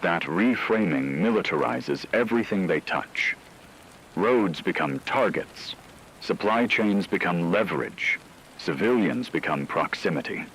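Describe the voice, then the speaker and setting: Deep-voiced grave radio news anchor, acted by a man. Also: animated, a bit bright, slight background noise, heavily acted, rough recording. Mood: grave, measured. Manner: measured, solemn, deliberate phrasing. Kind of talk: scripted emergency radio broadcast narration.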